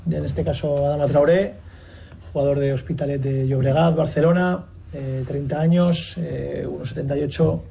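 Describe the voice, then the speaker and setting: A man opens a press conference, halting and full of fillers. His voice is gravelly and mellow, with faint room noise behind. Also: fairly high pitch, steady tone, lo-fi sound. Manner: hesitant, many fillers. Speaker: football director at a press conference.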